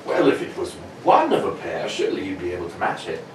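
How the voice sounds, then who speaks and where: A man playing a genial, polite gentleman companion with a hesitant, bumbling manner in a radio play. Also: low voice, wildly swooping pitch, fast talker. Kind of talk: amateur radio-play acting. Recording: roomy, distant, noisy live recording.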